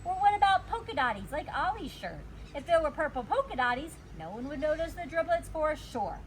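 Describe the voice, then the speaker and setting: A woman voicing an excitable, dramatic little girl in a children's storybook, with emphatic childlike delivery. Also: high voice, fairly quick, a little hiss or hum, voice pushed up, poor audio. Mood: grumbly. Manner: emphatic, childlike exclamations and grumbles. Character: excitable dramatic little girl narrating her own mishaps. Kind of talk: children's storybook read-aloud.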